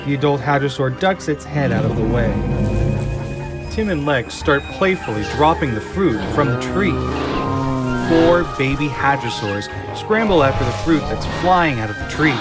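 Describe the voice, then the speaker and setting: A man narrates an audio drama from a script in a dramatic style. His voice is medium in pitch, with music and sound effects clearly behind it. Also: lively intonation, moderate pace.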